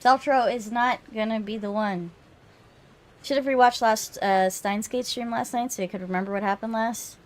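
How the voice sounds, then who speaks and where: A woman's voice: a little high, fairly even intonation, moderate pace. A woman hosting a livestream readthrough in casual chat, with some phlegm in her throat. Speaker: streamer hosting a visual novel readthrough.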